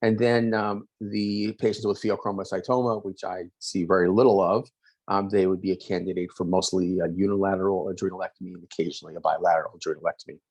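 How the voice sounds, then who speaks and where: A middle-aged man hosting a webinar, talking quickly with many fillers and self-corrections. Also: deep voice, level tone, darkish tone, no echo, poor audio. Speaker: host, endocrinologist. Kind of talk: webinar presentation. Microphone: video call.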